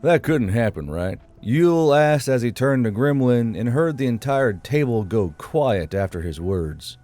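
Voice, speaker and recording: Mellow male story narrator. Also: mid-range voice, animated, unhurried. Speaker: narrator and channel host.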